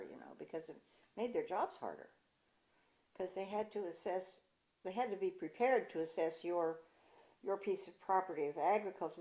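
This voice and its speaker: An older woman with a big voice, recalling local government history in an oral history interview, pausing and restarting as she searches for words. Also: on the low side, a little mellow, husky, low-quality recording. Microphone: table mic in front of a coffee pot.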